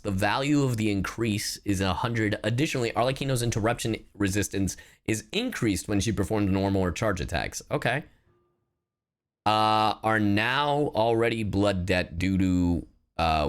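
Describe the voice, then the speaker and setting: A man live streaming a game, chatting casually in a slangy, sweary, expressive and excitable way. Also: on the low side. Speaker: livestreamer.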